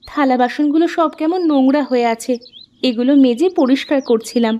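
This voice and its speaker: A caring, inquisitive village wife, voiced by a woman for a cartoon. Her voice is high, and she speaks in homely dialogue. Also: brisk, warm tone, lo-fi sound, a little hiss or hum, natural voice. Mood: curious, agreeable.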